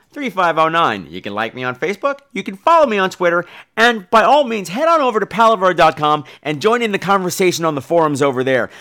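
A man hosting a podcast in a casual monologue, telling stories in a theatrical, catty and blunt way. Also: fairly high pitch. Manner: theatrical, catty, animated storytelling; profane, blunt.

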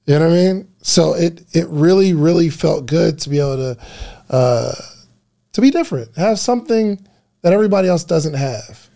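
A man from New Jersey with a New Jersey accent, telling stories as a podcast guest in a relaxed way with many restarts. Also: on the high side, normal speed, vocal fry. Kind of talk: casual podcast conversation.

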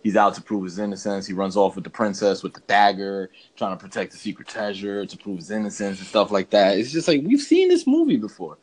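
A man's voice: fairly low pitch, animated, a little fast, few pauses, a little mellow, a little hiss or hum. A man co-hosting a podcast, giving a casual film review in a rambling, hesitant, laid-back way. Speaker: podcast co-host.

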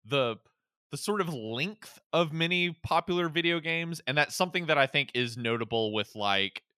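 A man hosts a casual discussion podcast in a conversational way. His voice is bright and crisp at a middle pitch, recorded dry with no room sound. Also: lively intonation, moderate pace, no echo. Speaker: podcast host.

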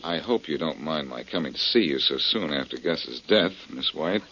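An actor playing a shrewd, confident, wisecracking male detective in a brisk 1940s radio style. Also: a bass voice, lively intonation, heavy background noise. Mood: shrewd, pressing. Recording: muffled, worn old radio broadcast.

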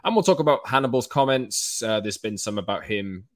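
Quick-talking Welsh man hosting a podcast. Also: lowish voice, no room sound, clean studio sound. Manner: quick, chatty, energetic delivery with fillers. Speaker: podcast host. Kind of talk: casual football podcast chat.

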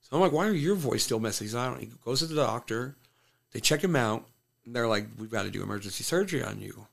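A man's voice: medium pitch, lively intonation, quick pace, gravelly, a bit bright. A man who coaches fighters, telling a fight story bluntly in casual conversation, swearing freely. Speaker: MMA coach and fighter, podcast guest.

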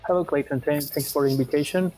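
A young man from Ecuador with an Ecuadorian Spanish accent explains research as an interview guest, in a measured way, often repeating words as they go. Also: fairly high pitch, darkish tone, soft background music, poor audio. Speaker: guest researcher, PhD student.

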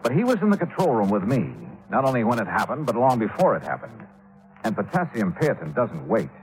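A tough American detective in a 1950s radio drama. He is clipped and commanding, with a very animated, mid-pitched voice on a vintage broadcast recording. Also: a little fast, rough recording. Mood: probing, commanding. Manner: clipped, commanding, hard-boiled. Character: tough, probing freelance male insurance investigator. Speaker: narrator and lead actor.

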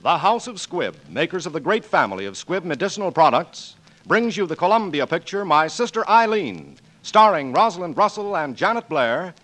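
High-pitched, grand 1940s radio announcer man. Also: a bit bright, low-quality recording. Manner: grand, declamatory. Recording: vintage 1940s radio broadcast, music behind.

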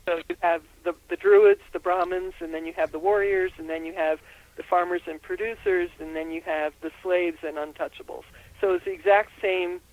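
A woman's voice: fairly low pitch, steady tone, moderate pace, darkish tone, rough recording. An older woman from Austria, a herbalist and author, giving interview answers in a thoughtful, explanatory way with frequent ums. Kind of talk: interview answers over the phone.

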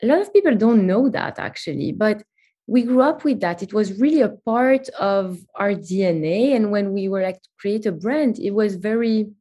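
A woman's voice: mid-range voice, moderate pace, warm tone. Woman, 30s, chatty storyteller with fillers, for an interview guest. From Belgium. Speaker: guest, company CEO. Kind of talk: remote interview chat.

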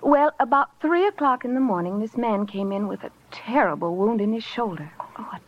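An actress playing a wistful, rambling charity mission worker in a theatrical old radio drama style. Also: female voice, a little high, expressive, a little fast. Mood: dreamy, dramatic. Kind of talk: 1940s-50s radio drama acting. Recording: muffled, noisy vintage radio recording.

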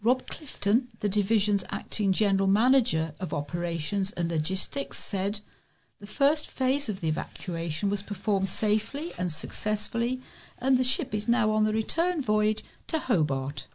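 A woman with a noticeably creaky, gravel-edged voice at a middling pitch reads news reports aloud. She delivers them as a volunteer newsreader would, straight from the page. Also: animated, normal speed, a little mellow.

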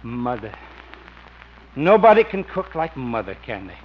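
An actor playing an affable, wheedling, sentimental small-time burglar in 1950s radio comedy, speaking in casual, folksy slang. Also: male voice, mid-range voice, big pitch swings, measured pace. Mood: wistful. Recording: muffled, faintly noisy old radio recording.

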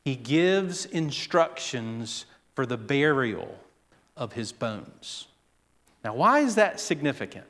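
A man preaching a sermon, speaking slowly and deliberately and acting out quoted voices with drama. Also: medium pitch, sing-song, fairly bright tone. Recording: long hall reverb.

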